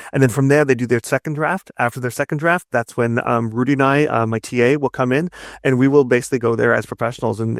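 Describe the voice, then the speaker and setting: A man with a low-creaking, gravelly voice talks like an instructor in an interview. He explains emphatically, doubling back on words and tagging points with "right?", in a dry, close sound. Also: no room sound. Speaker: narrative design instructor, podcast guest. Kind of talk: conversational interview, explaining craft advice.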